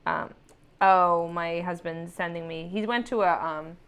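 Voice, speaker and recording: A woman's voice: lowish voice, steady tone, measured pace, fairly bright tone, smooth. A young adult woman comedian from the United States, hosting a casual live chat show, reading viewer comments and laughing often. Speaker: comedian podcast host.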